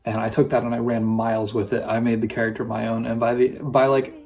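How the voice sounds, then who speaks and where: A young man with a high tenor voice, a high school theatre student talking enthusiastically about a show. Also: little pitch movement, fast talker, darkish tone. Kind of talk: casual recorded testimonial.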